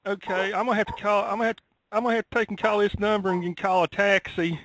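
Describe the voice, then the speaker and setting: Man putting on a high-pitched, naive Southern country fellow. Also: little pitch movement, a bit bright, slight background noise. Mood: earnest, flustered. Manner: rambling, folksy, slow to understand. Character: naive, worried, dim rural man with sick dog. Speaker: prank caller. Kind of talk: prank phone call. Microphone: phone call.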